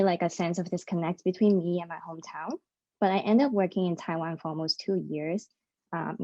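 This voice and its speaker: A woman journalist, born in Hong Kong and raised in New York, talks in a conversational way, often pausing on fillers. Her voice is mellow and a little low. The call audio is dry and slightly muffled. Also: dry recording. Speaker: freelance journalist, panelist. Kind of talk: prepared panel remarks. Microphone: video call.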